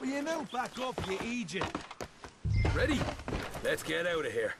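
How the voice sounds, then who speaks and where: A man's voice: sits high, wildly swooping pitch, moderate pace, exaggerated voice. A man playing a sardonic, hot-tempered outlaw in a video game, griping with sarcastic, foul-mouthed bluntness. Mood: bitter, irritable. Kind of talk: cinematic video game acting. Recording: loud music and wagon noise behind.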